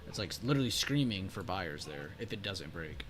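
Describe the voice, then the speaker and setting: A man hosting a live trading stream, animated and joking, with plenty of filler words. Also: sits low, a little fast, a little hiss or hum. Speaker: stream host and trading teacher. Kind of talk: casual live-stream chat.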